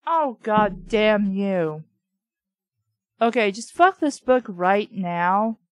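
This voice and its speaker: A woman's voice: medium pitch, lively intonation, drawn-out words, no room sound, clean studio sound, faint music behind. A woman reading a story aloud with sweary, sarcastic, exasperated commentary of her own. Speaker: reader and reviewer of children's books. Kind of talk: audiobook-style reading with sardonic ad-lib commentary.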